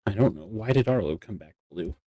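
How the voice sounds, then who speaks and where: A man's voice: lowish voice, lively intonation, a little fast, warm tone, dry recording, studio quality. A man chatting casually and jokingly while playing a game with friends. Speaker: player in a Minecraft roleplay group. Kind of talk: casual gaming chat.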